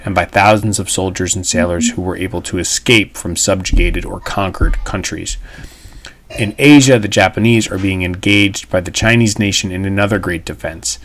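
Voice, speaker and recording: A man's voice: low-pitched, normal speed, fairly bright tone, slight background noise. A man speaking as a teacher, reading a text aloud and explaining it in an informal, emphatic way. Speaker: history teacher. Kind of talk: read-aloud lesson with explanations.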